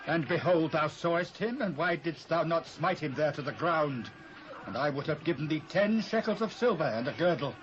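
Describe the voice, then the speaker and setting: Noisy dull tape, british guy playing forceful ancient army man, urgent, bible drama. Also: on the high side, expressive, moderate pace, natural voice. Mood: impatient, reproachful. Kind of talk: dramatised scripture reading, archaic English. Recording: muffled cassette transfer with faint noise.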